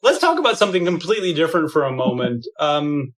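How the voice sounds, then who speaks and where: A man hosts a tech podcast with chatty enthusiasm, reacting eagerly to his guest. His voice sits a bit on the higher side and comes through a processed studio microphone. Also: very animated, fast. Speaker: podcast host. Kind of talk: interview questions, casual. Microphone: studio mic through processor.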